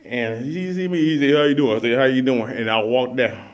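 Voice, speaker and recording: Animated man telling a story. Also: mid-range voice, brisk, highs cut off. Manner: animated, acts out voices and sounds. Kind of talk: casual storytelling.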